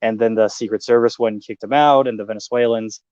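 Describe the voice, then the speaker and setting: An American man as a guest, explaining at length in a measured way with frequent pauses. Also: fairly low pitch, fairly even intonation, darkish tone, dry recording, lo-fi sound. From United States. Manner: measured, explanatory, many uh fillers. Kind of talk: casual interview talk.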